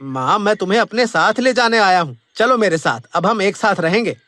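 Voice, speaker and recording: Man acting a high, bright, wheedling son. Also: animated, fairly quick, no room sound. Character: wheedling, two-faced grown son. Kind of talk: Hindi cartoon moral-story voice acting.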